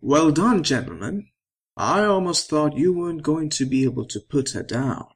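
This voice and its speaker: A man's voice: fairly high pitch, animated, moderate pace, slightly rough. A man playing a smug, callous sponsor in an audio drama, with a mock-polite, sardonic drawl. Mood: amused condescension.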